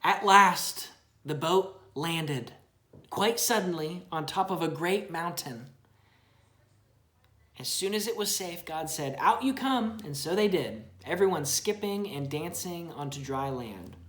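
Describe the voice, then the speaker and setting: A woman's voice: fairly low pitch, animated, a little slow, a bit bright, reverberant. A woman reading a children's Bible story aloud expressively, chatting with a young child and asking simple questions.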